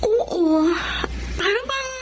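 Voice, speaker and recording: An excitable, high-voiced young Filipina daughter. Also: female voice, drawn-out words, background noise, exaggerated voice. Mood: uneasy, suspicious. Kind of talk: Tagalog radio drama acting.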